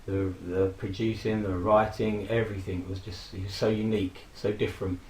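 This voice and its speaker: A man in his forties, hoarse from a throat infection, casually rambling and reminiscing with frequent fillers and laughs. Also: on the low side, level tone, moderate pace, a little mellow, echoey room, slight background noise, poor audio. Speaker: vlogger. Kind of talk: casual personal tribute monologue.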